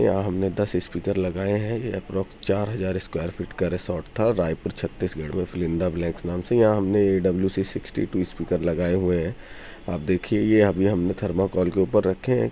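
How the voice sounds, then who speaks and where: A man's voice: low-pitched, creaky, mellow. A man narrating an informal walkthrough of an installation. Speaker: audio installer. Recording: dull lo-fi sound, faint noise.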